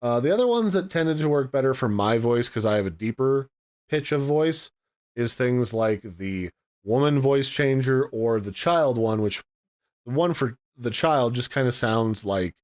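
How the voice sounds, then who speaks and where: A man's voice: mid-range voice, animated, measured pace, a little mellow. A man giving a casual product review and demo as host.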